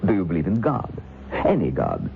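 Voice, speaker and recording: An actor in an old radio drama playing a menacing, self-assured occult author with formal, deliberate, condescending speech. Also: male voice, deep voice, huge pitch swings, gravelly, exaggerated voice. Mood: ominous, lecturing. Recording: muffled, noisy old radio broadcast.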